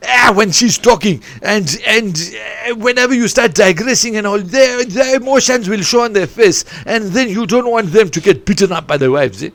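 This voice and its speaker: A man voicing a stern, teasing male podcast moderator who talks loudly, scolds, and tags his lines with "eh?". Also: high voice, animated, normal speed, hardly any pauses, dry recording, voice pushed up. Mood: scolding. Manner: loud and scolding, ends lines with "eh?".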